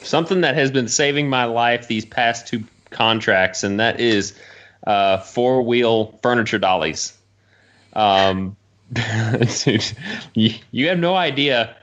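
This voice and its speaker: A man chatting casually as a podcast co-host, telling stories in an animated way. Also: medium pitch, slow pace, lo-fi sound. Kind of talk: casual chat.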